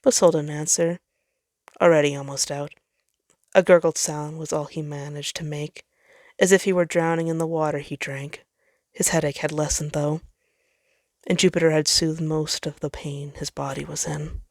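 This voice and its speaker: A woman's voice: low-pitched, flat delivery, moderate pace, a little mellow, dry recording, studio quality. A woman reading a story aloud as narrator, in a dramatic scripted style. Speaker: fan fiction narrator.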